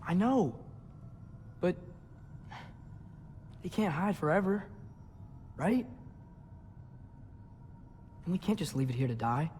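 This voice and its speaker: A man's voice: high voice, sing-song, takes it slowly, pauses often, a little mellow, a little hiss or hum, exaggerated voice. Teenage boy hero, earnest, impulsive, hesitant, swinging intonation, naturalistic game acting. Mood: pleading.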